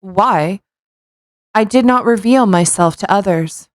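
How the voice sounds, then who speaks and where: Female podcast co-host, medium pitch, dry room sound, blunt and joking, casual chat and read-aloud. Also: takes it slowly, no echo.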